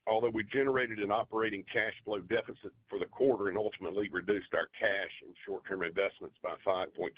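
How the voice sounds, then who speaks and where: A man's voice: low voice, breathy edge, dry recording. A man giving a scripted financial report on an earnings call as a company finance executive, in a formal, businesslike way. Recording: dull, dry conference call audio.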